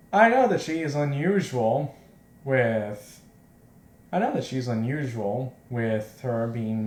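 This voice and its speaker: A man's voice: mid-range voice, a little mellow. A man with a mid-range voice plays through a visual novel and comments as he goes. He is jokey, rambling and a little self-conscious. The room sounds echoey. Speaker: let's play host. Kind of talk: joking commentary and read-aloud of a visual novel. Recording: echoey, roomy home recording.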